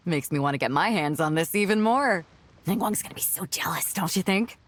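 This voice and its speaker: A woman's voice: lowish voice, expressive, moderate pace, slight background noise, lightly acted. A woman playing a breezy, confident, big-hearted ship captain in a video game, casual and outgoing. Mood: impressed, cheerful. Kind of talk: scripted video game dialogue acting.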